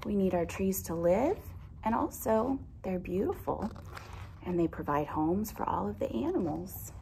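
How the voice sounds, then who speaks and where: A woman's voice: medium pitch, expressive, unhurried, mellow. A woman in her thirties, a teacher reading a picture book aloud to young children, expressively and with simple explanations and questions. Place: indoor classroom with alphabet wall displays. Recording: clear background noise.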